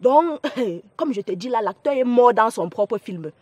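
Actress as young cameroonian gossip girl in a family drama, slippery and pleading, bit high and husky. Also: lively intonation, unhurried, darkish tone, no room sound, lo-fi sound. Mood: gossipy, reassuring. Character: gossiping young woman of the household, evasive and pleading.

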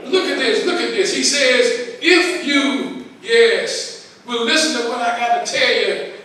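An older man with a Southern US accent preaching a sermon as pastor, in a drawn-out call-and-response style, into a handheld mic in a large church. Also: very high voice, bright, forceful tone. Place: large church sanctuary with congregation. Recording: very echoey church hall, heard from a distance. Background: congregation calling back.

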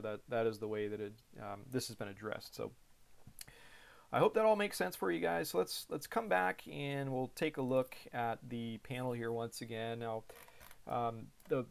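An American man in his thirties walks through cockpit switches from a small room at his desk. His voice sits at an ordinary middle pitch. He talks casually, with lots of fillers and restarts. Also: creaky. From United States. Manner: casual, many fillers and repeated words. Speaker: pilot instructor. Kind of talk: explaining a cockpit tour.

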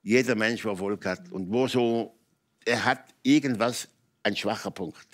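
Older man, Swiss German accent, lowish pitch, emphatic, halting repeated phrases, candid talk show guest. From Switzerland. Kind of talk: candid personal storytelling in conversation. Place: TV talk show studio with audience.

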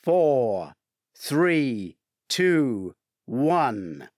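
A man's voice: mid-range voice, big pitch swings, extremely slow, darkish tone, no room sound, studio quality. A man voicing a commanding male ship captain who announces to a crowd in an audiobook thriller.

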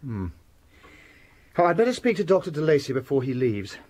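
An actor playing an earnest, worried young gentleman with an English accent in a radio drama, well-spoken and impulsive. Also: a little high, very animated, brisk. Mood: puzzled, troubled.

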